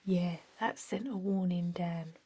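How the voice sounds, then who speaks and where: Casting: woman as energy vampire clerk for ASMR roleplay; mellow, breathy half-whisper, deadpan and rambling, faint background noise. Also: fairly low pitch, unhurried, natural voice. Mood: matter-of-fact. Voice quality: soft, breathy, often whispered. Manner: hesitant, rambling, deadpan workplace small talk. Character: chatty energy vampire office clerk, drily jokey.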